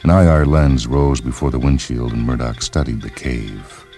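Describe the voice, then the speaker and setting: Man, exceptionally deep voice, scripted story narration, measured literary delivery, music in the background. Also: warm tone. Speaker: narrator of a short story series. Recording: music behind the voice.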